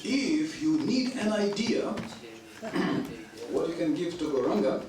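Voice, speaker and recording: Non-native English-speaking man preaching, lively pitch, halting delivery, far from the mic in a reverberant temple hall with an audience. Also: crowd noise. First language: not English. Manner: halting, with frequent throat clearing and fillers. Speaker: visiting preacher. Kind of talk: devotional lecture.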